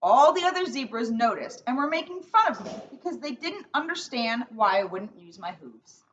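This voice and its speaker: A woman's voice: a little high, big pitch swings, moderate pace. A woman voicing a sensitive young male zebra in a storybook, earnest and plaintive, on a distant mic. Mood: recounting, hurt. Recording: distant mic, dull sound, faint noise.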